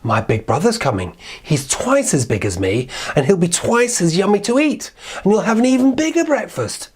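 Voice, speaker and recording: A man voicing a medium-sized, hungry, quick-thinking billy goat in a storybook tale, talking in a high, coaxing way. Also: big pitch swings, a little hiss or hum.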